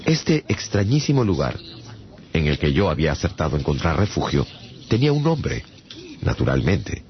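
A man's voice: very deep voice, big pitch swings, moderate pace, slight background noise, exaggerated voice. An actor playing a bemused shipwrecked traveller, telling his adventures in a theatrical, wry radio-drama narration. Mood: wry, reflective. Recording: dull lo-fi sound with music behind. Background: music.

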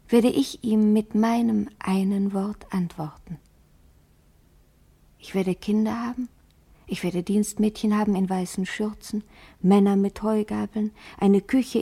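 An actress playing a dreamy, solitary young country woman in a radio play, in a hushed, reflective and lyrical monologue. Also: medium pitch, creaky, husky.

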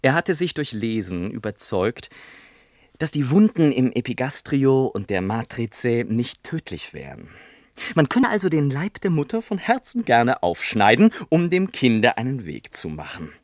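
A theatrical, highly animated storyteller. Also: male voice, measured pace, dry recording, clean studio sound. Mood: confiding. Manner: theatrical, emphatic, ornate phrasing. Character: son narrating his eccentric father's theories, mimicking him excitedly. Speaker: narrator. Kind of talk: literary radio play narration.

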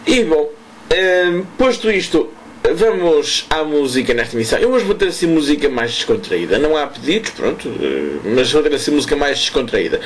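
A man with a European Portuguese accent hosting a casual radio show, hoarse from smoke, rambling and correcting himself with chuckling asides. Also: a little high, a little hiss or hum. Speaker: radio host.

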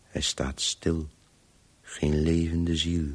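An actor playing a weary, disembodied Voice in a radio play, obsessively telling a story in halting, clipped, sometimes breathy fragments. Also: male voice, a bass voice, completely flat delivery, heavily acted. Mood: bleak, halting.

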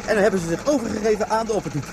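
A quick, high-pitched fisherman of a sea tribe in a radio play. He boasts proudly and excitably over clear engine noise. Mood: proud, excited. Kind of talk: Dutch science fiction radio play acting.

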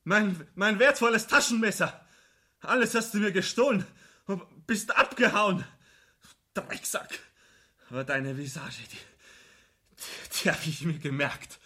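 An actor playing a furious, feverish wounded soldier in a radio play, ranting and stammering accusations. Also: male voice, high-pitched, lively intonation, measured pace, pauses often, pushed and bright, heavily acted. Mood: furious, accusing.

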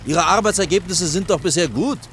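An actor playing a pragmatic, middle-aged senior architect in a radio drama, admonishing and matter-of-fact. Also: male voice, sits high, fairly quick, a bit bright. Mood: pragmatic, firm. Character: stern, pragmatic older male chief architect. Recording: 1980s radio play, outdoor background noise.

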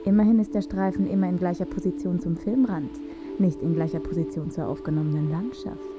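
An actress playing a matter-of-fact presenter in an experimental radio drama, explaining footage in a declarative, instructive way. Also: female voice, fairly low pitch, steady tone, brisk, close to an everyday voice. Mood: matter-of-fact, insistent. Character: woman presenter matter-of-factly explaining archive moon footage. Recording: muffled sound, prominent music underneath.

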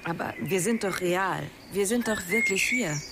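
A woman plays an earnest stranded traveller in a radio play. She speaks plainly, with loud noise and birdsong around her. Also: medium pitch, moderate pace, natural voice. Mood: earnest, insistent. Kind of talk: radio drama acting.

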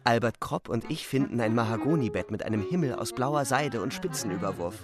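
A man's voice: mid-range voice, lively intonation, a little fast, natural voice. A man playing a young German soldier who tells of the front in terse, literary radio play narration, with music behind him. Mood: brisk, vivid. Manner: terse present-tense storytelling.

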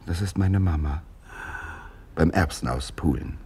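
An actor playing a vain, touchy, antisemitic young painter in a theatrical radio play, speaking in a pompous, defensive way. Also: male voice, rumbling low, measured pace, darkish tone, breathy edge, heavily acted. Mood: proudly showing off.

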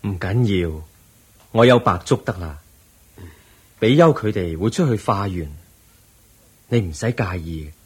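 A wise, patient Buddha in a radio play. His low voice is very husky and moves through wide rises and falls. He teaches in a measured, gentle, preaching manner. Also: expressive, heavily acted. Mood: kindly, instructive. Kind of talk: Cantonese radio drama acting.

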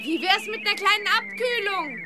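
A woman playing a cheerful, cheeky young schoolgirl witch in a children's audio drama, lively and singsong when she chants. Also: extremely high pitch, normal speed, loud music behind the voice. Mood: cheerful, playful.

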